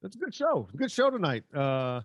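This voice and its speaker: A man's voice: a little high, wildly swooping pitch, brisk, dry recording. An American man, middle-aged, hosting a casual sports podcast chat.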